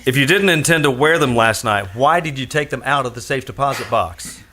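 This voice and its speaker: World-weary detective, medium pitch, sharp forceful tone, wry, clipped, hard-boiled radio drama. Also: male voice, animated, brisk. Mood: brisk, probing. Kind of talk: old-time radio detective drama acting.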